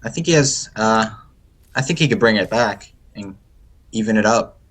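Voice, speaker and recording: A man's voice: on the low side, lively intonation, moderate pace. An American man co-casting a live esports match, easygoing, making short remarks. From United States. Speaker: esports co-caster. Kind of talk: live game commentary.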